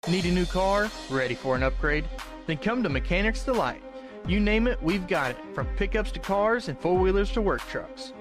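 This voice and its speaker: A man playing a pushy, enthusiastic car dealership pitchman in a radio advert. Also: lively intonation, moderate pace, over music. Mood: salesy, eager.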